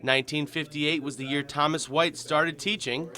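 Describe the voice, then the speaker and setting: Bright, forceful male news reporter. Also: on the high side, steady tone, moderate pace. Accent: American. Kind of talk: scripted news narration.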